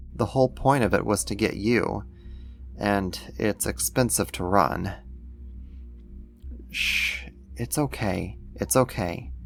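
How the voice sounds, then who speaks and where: Doting alien owner male actor, low soft-spoken ASMR delivery, soothing and coaxing, slightly condescending, faint music behind. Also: creaky. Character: gentle, doting male alien-like being soothing a new pet.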